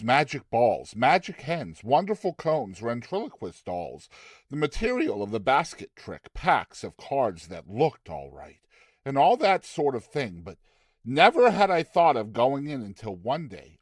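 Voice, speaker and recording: Expressive male storyteller, very close and dry. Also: mid-range voice, no room sound. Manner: theatrical, expressive phrasing. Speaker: narrator reading a short story. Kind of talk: dramatized audiobook narration.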